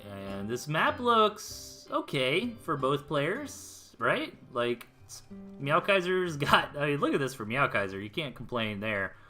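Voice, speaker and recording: A man's voice: on the high side, big pitch swings, takes it slowly, sharp tone. An American man casting a strategy game replay in a casual, slangy, explanatory way, with music behind him. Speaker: esports replay caster. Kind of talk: live game commentary, casual.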